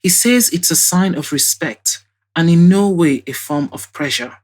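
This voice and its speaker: A woman voicing a composed, polite young woman in an audio drama, with an earnest, measured manner. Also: on the low side, expressive, darkish tone. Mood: reflective.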